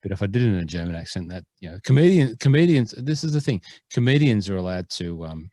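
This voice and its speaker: Low-voiced, mellow podcast co-host. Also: male voice, big pitch swings, fast talker, no room sound, studio quality. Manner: jokey, rambling with fillers. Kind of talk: casual podcast chat.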